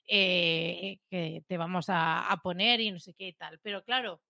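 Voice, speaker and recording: A young woman from Spain, co-hosting a podcast, telling a story in lively casual chat with many restarts. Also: fairly low pitch, measured pace, gravelly, no echo, clean studio sound. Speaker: podcast co-host.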